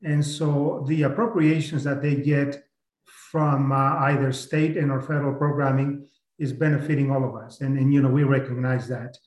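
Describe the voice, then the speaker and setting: Earnest county commissioner in a roomy hall. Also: male voice, mid-range voice, level tone, a little mellow. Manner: earnest, halting with many restarts. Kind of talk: public meeting discussion. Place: county meeting room. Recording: roomy meeting room sound.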